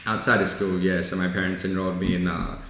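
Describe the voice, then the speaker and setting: Young male guest, low-ish voice, easygoing and chatty, heavy room echo. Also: level tone, quick pace, fairly bright tone. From Bangladesh, raised in Australia. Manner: easygoing, laughs often, frequent um and like. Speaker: startup co-founder, guest. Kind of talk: casual interview chat. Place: co-working space. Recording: very echoey room.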